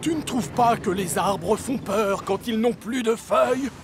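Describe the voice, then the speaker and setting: A man's voice: high voice, a little slow, background noise. A man playing a timid, imaginative young train engine, earnest and wide-eyed, in a children's cartoon dub. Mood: nervous. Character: timid, imaginative, easily frightened young male talking engine. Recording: music behind the voice.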